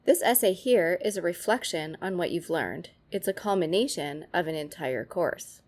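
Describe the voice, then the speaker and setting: A woman's voice: fairly low pitch, animated, normal speed, a little mellow. A woman presenting scripted instructional tips, addressing the viewer in an instructive manner.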